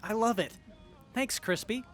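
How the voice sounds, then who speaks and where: A man playing an earnest, excitable young tinkerer in an audio drama, with a geeky, sincere way of talking. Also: high voice, a little slow, a little hiss or hum. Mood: excited, delighted.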